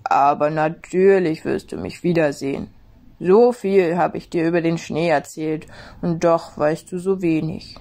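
A woman doing a calm, kindly snowman. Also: low voice, unhurried, creaky, exaggerated voice. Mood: gentle. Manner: calm, gentle, reassuring. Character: kind, wise, jolly male snowman.

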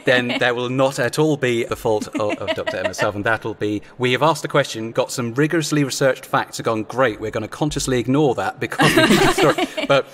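A man in casual, chatty discussion, telling things in an animated, digressive way. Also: mid-range voice, a little fast, few pauses. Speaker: audio drama writer.

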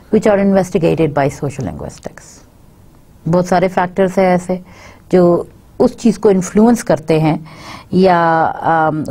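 A middle-aged woman from Pakistan with a Pakistani accent, giving a recorded university lecture and switching between slow English explanations and quick Urdu asides. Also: lowish voice, warm tone, slightly rough. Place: studio set with dark backdrop. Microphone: fixed camera, seated mid shot.

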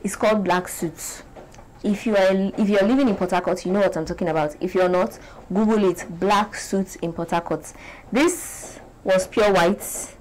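A young woman from Nigeria with a Nigerian accent, talking to the camera in a casual, animated vlog from a small bright room. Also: mid-range voice, moderate pace, slight background noise, low-quality recording. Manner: animated, chatty, gestures a lot. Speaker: beauty vlogger.

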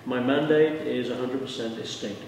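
A man's voice: mid-range voice, fairly even intonation, moderate pace, darkish tone, slight background noise. An older man, a winery owner and winemaker, talking bluntly and emphatically in a casual interview, often repeating words as he thinks. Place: large winery tasting room, indoors. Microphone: handheld mic, wide camera. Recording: echoey room from far off.

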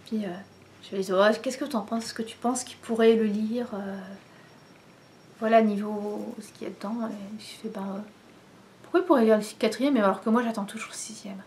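A woman's voice: medium pitch, a bit bright. A woman in her thirties chats to a camera in her living room, rambling along with plenty of fillers and restarts. Her voice sits in a middle range for a woman. A faint hum of the room sits behind her. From France. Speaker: knitting vlogger. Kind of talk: casual chat to camera. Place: living room at home, indoors. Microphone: camera in front, head and shoulders.

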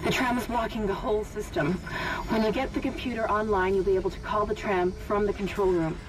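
A woman's voice: medium pitch, steady tone, a little fast, distant mic, background noise, lightly acted. A woman acting an anxious crew member on a stranded spaceship in a video game. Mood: urgent.

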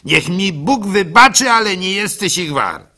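A man's voice: sits high, animated, unhurried, pushed and bright, voice pushed up, heavily acted. A man voicing a good-hearted young village apprentice in a radio play, chiding and emphatic.

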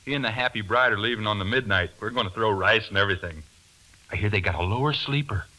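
A man's voice: lowish voice, quick pace, pushed and bright. A man playing a breezy, gossiping small-town pal, folksy and chatty, in a 1940s radio drama. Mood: chirpy, gossipy. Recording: noisy 1940s radio recording.

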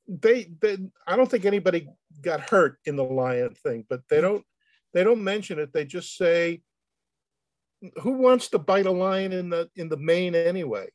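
A man speaking off the cuff in a comic, excitable way, often repeating words as he goes on a video call. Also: fairly high pitch, dry recording. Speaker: panel reader answering questions. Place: indoor room on a video call.

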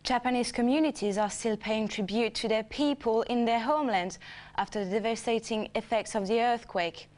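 A woman's voice: a little high, normal speed, a little mellow. A woman presenting a scripted news bulletin.

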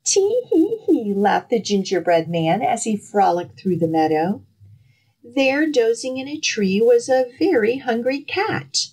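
A woman reading a picture book aloud to children in an expressive, dramatic storytelling manner. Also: a little high, measured pace, slightly rough, poor audio. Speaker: children's librarian, storytime reader.

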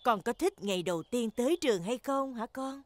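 A woman's voice: fairly high pitch, lively intonation, brisk, slight background noise, natural voice. A woman voicing a kindly gazelle schoolteacher in a children's cartoon, encouraging. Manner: encouraging, addressing small children. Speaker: teacher. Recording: music bed under the voice.

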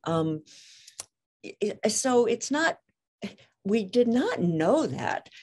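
A woman's voice: on the high side, very animated, slow, a little mellow, breathy edge. A woman speaking as an interviewed author and lawyer in a conversational interview, careful and precise, often rephrasing her points.

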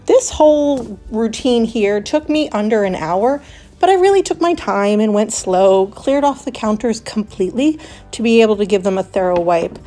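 A woman in her thirties chatting through a voice-over for her home cleaning vlog, relaxed and friendly, with the odd small laugh. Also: medium pitch, normal speed, soft background music. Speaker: homemaking vlogger.

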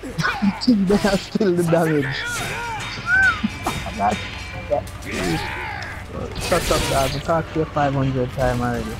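A man's voice: on the high side, unhurried, long pauses. A man streaming a fighting game, chatting casually with a friend, laughing and swearing in slangy banter. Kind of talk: casual banter while gaming. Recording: game music loud behind.

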